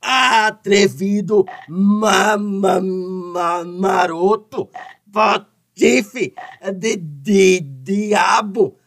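Casting: stammering, indignant henpecked son for radio comedy, extremely halting delivery, full of bluster. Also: male voice, high voice, creaky, fairly bright tone, full character voice. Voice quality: heavy stutter. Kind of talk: radio soap opera comedy acting.

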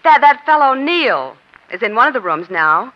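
A woman's voice: on the high side, very animated, moderate pace. A woman playing a savvy, chatty American newspaper reporter who feigns casual innocence in a 1940s radio crime drama. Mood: slyly chatty. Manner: breezy, chatty, slyly casual. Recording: dull, lo-fi old radio recording.

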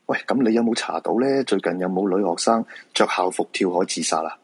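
A reluctant fortune-teller in a radio drama, acted by a man, brusque and exasperated. His voice is low and quite husky, with a Hong Kong Cantonese accent and a dismissive, protesting manner. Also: brisk. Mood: wry, teasing. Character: reluctant male feng shui fortune-teller, married father, exasperated.

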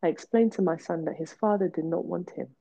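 A woman's voice: fairly low pitch, brisk, vocal fry, dark tone. A woman, hosting a podcast and telling her own story bluntly and emphatically. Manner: emphatic, blunt, repetitive for stress. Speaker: podcast host. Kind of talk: candid personal storytelling. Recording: dull lo-fi podcast audio.

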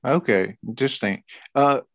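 A man from Indonesia hosting an interview, asking measured questions with some fillers. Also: medium pitch, no echo, clean studio sound. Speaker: interviewer, host.

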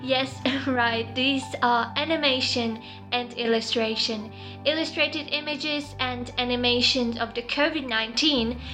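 A woman hosting an interview, reading a prepared introduction and asking questions in a measured, welcoming way. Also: fairly high pitch, sharp tone. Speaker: podcast host.

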